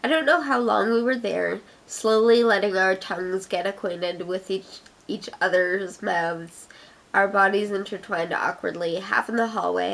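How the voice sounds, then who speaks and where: A woman's voice: mid-range voice, gravelly, fairly bright tone, poor audio. Young Canadian woman, Ottawa accent, mid-range voice, chatty and giggly vlogger reading aloud. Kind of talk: casual accent challenge, reading her own fiction aloud.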